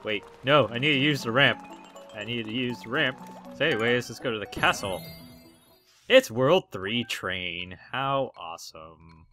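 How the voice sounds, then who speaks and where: Hoarse, excitable young gamer guy. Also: medium pitch, measured pace. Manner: goofy, excitable, lots of ums and likes. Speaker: let's play gamer. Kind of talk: casual gameplay commentary. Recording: game music behind voice.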